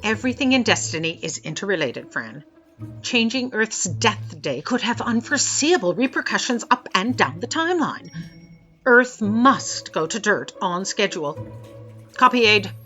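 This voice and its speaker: Sharp-voiced imperious boss. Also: female voice, medium pitch, big pitch swings, normal speed, highs cut off, soft background music. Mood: stern. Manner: commanding, curt. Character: imperious, world-weary female boss. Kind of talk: audio drama acting.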